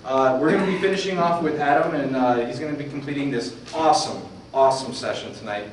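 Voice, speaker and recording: A man's voice: mid-range voice, fast. Man emceeing in a very echoey room. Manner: casual, repeats audience questions for the room. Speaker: host of a community tech meetup. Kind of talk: informal emceeing to a crowd. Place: meetup room with an audience. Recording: echoey room from far off, faint noise.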